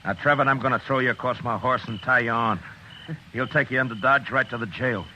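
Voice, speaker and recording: An actor playing a tough American frontier marshal, terse and stern, in a hard-boiled old radio western. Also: male voice, mid-range voice, steady tone, fairly quick, sharp tone, a little hiss or hum. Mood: stern, threatening. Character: tough, grim frontier marshal narrating his own story. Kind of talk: 1950s radio western acting and first-person narration. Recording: muffled vintage radio broadcast.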